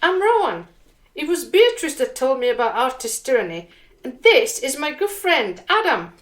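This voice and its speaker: A woman acting in a radio drama as an earnest budding performance poet, speaking deliberately. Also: sits high, big pitch swings. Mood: friendly.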